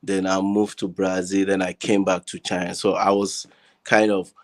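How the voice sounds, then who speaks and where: Male, Nigerian accent, lowish register, discussion guest; conversational, hesitant, repeats words while searching for phrasing. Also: little pitch movement, normal speed. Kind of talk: casual discussion. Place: online group video call.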